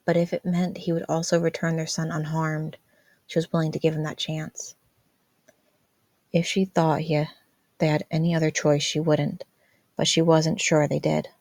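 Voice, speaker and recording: A woman's voice: low-pitched, a little mellow, no room sound. A woman reading scripted fan fiction narration as a podfic narrator.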